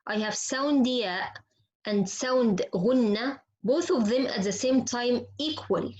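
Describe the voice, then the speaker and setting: A woman with an Arabic accent, a native Arabic speaker, teaching recitation rules step by step, repeating points and asking questions that she answers herself. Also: medium pitch. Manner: instructive, repetitive, poses questions then answers. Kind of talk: explanatory lesson with recited examples. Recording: dull, lo-fi class audio.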